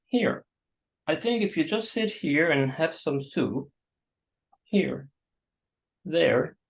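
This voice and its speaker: A man acting a gentle, awkward, solicitous host in a staged play reading, hesitant and coaxing. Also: a little high, lively intonation, slow pace, creaky, heavily acted. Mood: concerned, coaxing. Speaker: actor. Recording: dull, muffled sound.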